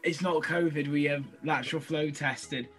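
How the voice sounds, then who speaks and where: A young man co-hosting a casual podcast over a video call from his bedroom, slightly hoarse from a cold, chatty and excitable. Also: fairly high pitch, moderate pace, faint music behind. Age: young adult. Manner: excitable, chatty, laughs easily. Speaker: podcast co-host and rugby referee. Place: bedroom at home. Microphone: laptop webcam on video call.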